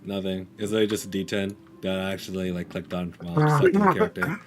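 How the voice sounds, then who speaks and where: A man's voice: sits low, big pitch swings, very fast, crowd noise. A man acting as game master, narrating casually and a little hesitantly as he improvises.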